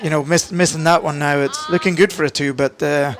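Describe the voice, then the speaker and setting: A man giving live commentary on a curling match, talking in halting bursts full of fillers and restarts. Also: fairly high pitch, fairly even intonation, over music. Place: curling arena. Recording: arena crowd noise behind.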